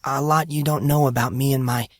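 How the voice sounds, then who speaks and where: A man's voice: medium pitch, steady tone, a little fast, no echo, studio quality, pitched above the performer's own voice, natural voice. A man voicing a tearful, fragile but wry girl in an audiobook story, plaintive and hesitant. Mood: hesitant, troubled.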